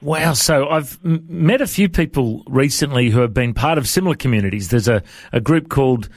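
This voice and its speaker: A man's voice: medium pitch, a little fast, no room sound, studio quality. Friendly man hosting a radio interview. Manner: friendly, informal, with frequent fillers. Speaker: radio show host. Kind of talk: conversational interview.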